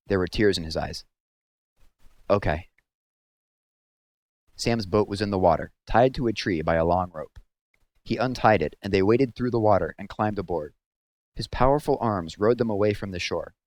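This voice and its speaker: A man narrating a story aloud in a measured, serious storytelling manner. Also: lowish voice.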